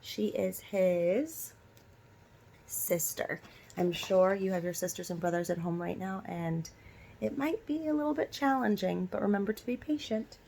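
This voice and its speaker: A woman's voice: medium pitch, unhurried, gravelly, dark tone, a little hiss or hum. A woman teacher doing a storybook read-aloud for young children, instructing and asking them direct questions. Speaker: elementary school teacher.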